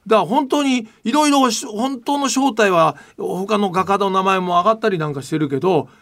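A man's voice: high-pitched, fairly quick, fairly bright tone. A male host chatting casually and giving his opinions in a blunt, emphatic, colloquial way. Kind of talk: opinionated casual talk show chat.

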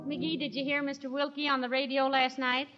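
A woman playing a sensible, reproving wife in a 1940s radio comedy, speaking briskly. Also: high-pitched, little pitch movement, muffled recording, over loud music, natural voice. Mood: calm inquiring. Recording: old radio broadcast with studio audience.

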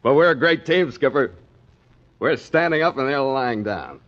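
Casting: male actor for loyal, cheeky American sailor sidekick, breezy and very animated, 1940s radio serial, muffled old recording. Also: medium pitch, moderate pace, natural voice. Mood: breezy explaining. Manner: breezy, slangy, eager. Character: brash, loyal, fun-loving male first mate. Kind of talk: 1940s radio adventure acting.

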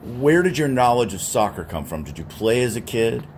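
A man's voice: medium pitch, normal speed. An American man working as a radio host, asking questions in a casual, conversational interview style. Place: stadium radio booth. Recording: clear steady ambient noise behind the voice.

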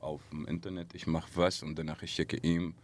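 Deep-voiced man with Arabic accent. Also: flat delivery. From Syria. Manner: halting, searching for words. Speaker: musician guest. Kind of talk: casual interview answers.

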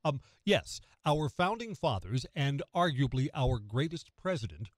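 A man's voice: mid-range voice, very animated, unhurried, dry recording, studio quality. An American man hosting morning talk radio, delivering a conversational opinion monologue and putting rhetorical questions straight to the listener. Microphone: close studio radio microphone.

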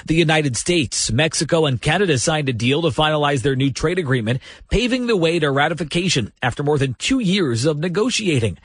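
A man's voice: on the high side, animated, a little fast, slightly rough, no echo. A man reading scripted radio news as an anchor.

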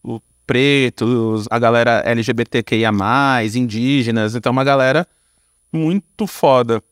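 A man's voice: medium pitch, normal speed, no echo, clean studio sound. A man with a Brazilian Portuguese accent talking casually in an interview about his creative work, in an informal, slangy, run-on way with frequent tag questions. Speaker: podcast guest, audio storyteller and creator.

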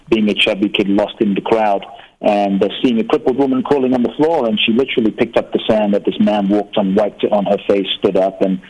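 A man's voice: lowish voice, darkish tone, husky, poor audio. A man, a guest author telling his life story in an earnest, reflective way. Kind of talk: interview talk.

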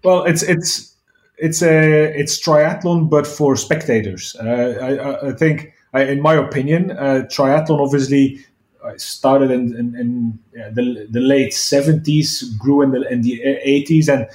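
Halting Belgian-born man, roomy sound. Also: medium pitch, a little mellow. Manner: halting, many restarts and fillers. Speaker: guest, sports event founder. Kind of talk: podcast interview. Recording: roomy echo.

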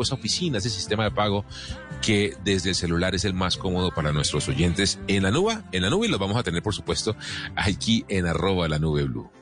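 Man, radio co-host, lowish voice, chatty with fillers, faint music underneath. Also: fairly bright tone. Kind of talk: radio talk show hosting.

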